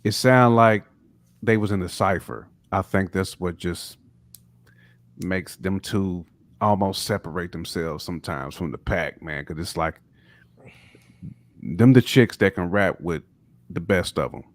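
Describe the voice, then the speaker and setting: A man co-hosting a hip hop podcast, talking in a relaxed but emphatic casual way. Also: deep voice, unhurried, pauses often, dry recording. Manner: relaxed, emphatic, repeats "you know what I'm saying". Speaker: podcast co-host.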